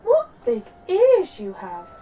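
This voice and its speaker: Woman playing a small fairy-tale girl, high, innocent, sing-song, very animated, music in the background. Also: a little slow, heavily acted. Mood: amazed. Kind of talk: children's bedtime storybook reading.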